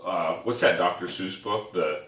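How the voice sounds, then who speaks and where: Bright-voiced man in his thirties, echoey room. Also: low-pitched, moderate pace. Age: 30s. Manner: rambling storyteller, laughs often. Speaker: podcast co-host. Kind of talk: casual chat. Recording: echoey room, mic at a distance.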